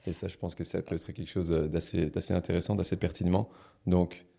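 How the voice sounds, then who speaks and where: A podcast host with a very low, darkly mellow voice. He talks in a relaxed, halting way, stopping and restarting his sentences as he chats with his guest. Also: vocal fry. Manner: hesitant, repeats and restarts phrases. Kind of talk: casual interview chat.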